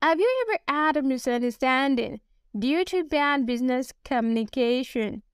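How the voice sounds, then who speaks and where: A Nigerian woman with a Nigerian accent, a business English coach talking alone on her podcast, spelling out words slowly. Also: high-pitched, expressive, clear, resonant tone, no echo, clean studio sound. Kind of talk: solo podcast monologue.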